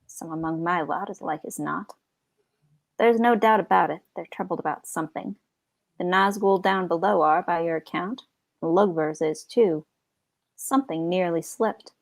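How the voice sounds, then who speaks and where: A woman voicing a rough, boastful male orc captain with grunting, colloquial speech for a fantasy storybook. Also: mid-range voice, lively intonation, a little slow, hardly any pauses, warm tone. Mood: wary, hushed.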